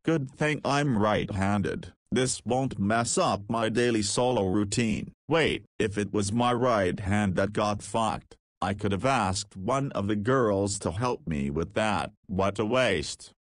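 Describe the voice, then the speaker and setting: Visual novel lead: crude nineteen-year-old wise guy, slow rambling delivery, dry close recording. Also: lowish voice, no room sound. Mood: cocky, wry. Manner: rambling, wry, slangy.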